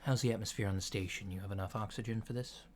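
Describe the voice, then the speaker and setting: Casting: male performer for a polite alien physician in ASMR roleplay, lowish voice, unhurried and reassuring, noisy lo-fi sound. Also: fairly even intonation, natural voice. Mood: calm, reassuring. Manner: hesitant, methodical, reassuring clinical questioning. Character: polite, unhurried human-looking alien doctor giving a checkup. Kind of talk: soft-spoken ASMR roleplay. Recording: lo-fi with clear background noise.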